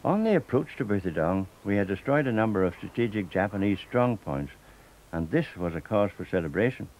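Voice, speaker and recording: An older man with a Northern Irish accent, reading a scripted documentary narration in a measured, steady way. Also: fairly low pitch, rough recording. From Northern Ireland.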